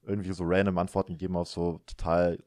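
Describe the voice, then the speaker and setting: A man's voice: low-pitched, flat delivery, fast, mellow, dry recording, studio quality. A man in his thirties hosting a casual chat, laid-back and rambling, often tagging his sentences with little filler questions. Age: 30s. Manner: laid-back, rambling, self-mocking, frequent filler tags. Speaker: podcast host.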